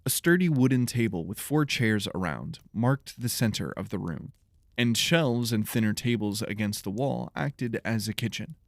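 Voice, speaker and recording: A man narrating scripted fiction as an audiobook, in a measured storytelling manner. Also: lowish voice, lively intonation, no echo, clean studio sound.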